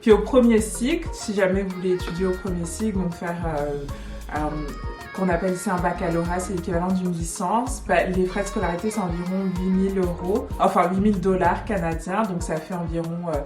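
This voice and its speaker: A French woman, director of an immigration agency, explaining things in a relaxed casual interview with many fillers. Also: lowish voice, fairly quick. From France. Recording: echoey room with music behind.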